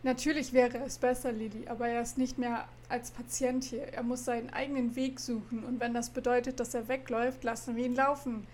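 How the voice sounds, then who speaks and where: A woman reading a calm ranch husband. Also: a little high, a little fast, hardly any pauses, a little hiss or hum, lightly acted. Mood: reassuring. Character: calm steady man running a youth ranch.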